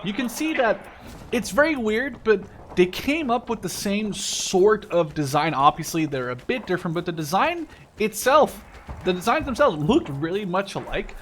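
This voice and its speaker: A man's voice: sits high, animated, moderate pace, a bit bright, a little hiss or hum. A man in his twenties from the Netherlands giving casual, rambling gameplay commentary, often correcting himself and swearing casually. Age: 20s. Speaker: gaming video host.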